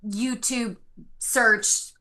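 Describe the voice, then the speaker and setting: A woman's voice: a little high, steady tone, extremely slow, bright, forceful tone. A woman attending a workshop, chatting casually as she asks about something. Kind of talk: casual chat.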